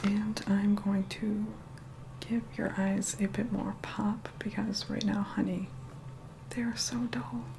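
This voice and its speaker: A woman's voice: mid-range voice, flat delivery, slow pace, creaky, noisy background. A woman playing a snobbish, reluctant young vampire in an ASMR roleplay, whispering in a sarcastic, bossy, condescending way. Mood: snide, grudging.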